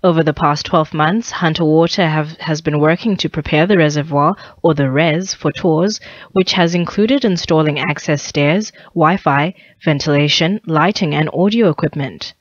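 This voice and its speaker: A woman's voice: sits low, normal speed, no echo, highs cut off. A woman reading a scripted radio news bulletin, occasionally stumbling over phrases. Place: dry radio studio.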